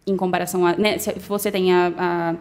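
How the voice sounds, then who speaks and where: A woman's voice: fairly low pitch, flat delivery, brisk, darkish tone. A woman guest, a doctor, chatting casually in an interview, hesitant with many restarts.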